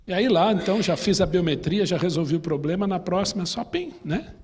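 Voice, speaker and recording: Man, Brazilian Portuguese accent, pastor teaching Bible class, husky, fairly high voice, reverberant church hall. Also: lively intonation, fairly quick, a little mellow. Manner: chatty, asks the class questions, tells personal stories.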